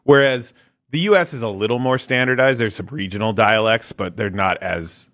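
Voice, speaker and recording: A man co-hosting a radio show, explaining sports and language points in a measured way with dry wit. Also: fairly low pitch, a bit bright, no echo, highs cut off. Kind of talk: casual sports talk on radio.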